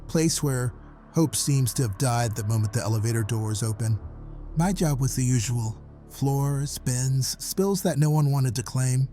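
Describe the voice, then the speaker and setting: A man's voice: mid-range voice, mellow, natural voice. An actor playing a folksy, wry hospital janitor who calls in and tells his story matter-of-factly in a horror audio drama. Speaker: caller.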